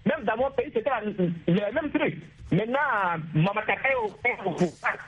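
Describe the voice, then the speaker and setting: A man with a Chadian accent calling in to a radio show, arguing his political opinion haltingly, repeating words. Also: high-pitched, lively intonation, a bit bright, breathy edge. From Chad. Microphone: phone. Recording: muffled, lo-fi phone line.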